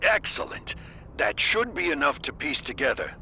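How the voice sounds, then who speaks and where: A man playing a brisk, commanding police colleague giving instructions in a video game. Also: on the high side, very animated, phone-quality sound, slight background noise.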